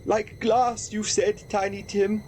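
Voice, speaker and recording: An actor playing a timid, remorseful old man in a radio play, speaking hesitantly and anxiously with a creaky voice. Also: high voice, level tone, slow, a little mellow, soft background music. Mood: fearful.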